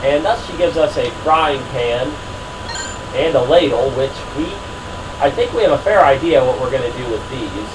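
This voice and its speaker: Hoarse man giving wry gameplay commentary. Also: medium pitch, lively intonation, moderate pace, background noise. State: hoarse from a cold. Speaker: let's play commentator. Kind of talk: casual game commentary. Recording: game music playing under the voice.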